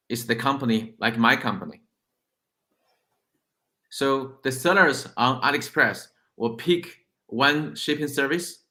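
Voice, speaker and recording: A man's voice: medium pitch, sharp tone. A man, a guest who runs a shipping company, explaining things step by step in a casual interview with repeated words and fillers. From China. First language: Chinese.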